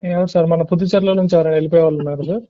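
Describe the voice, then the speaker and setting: Quick, polite man surveying by muffled phone. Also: a little high, fairly even intonation, dark tone. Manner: polite, deferential, mixes in English words. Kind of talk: question-and-answer phone survey. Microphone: telephone. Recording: muffled lo-fi leaked phone call.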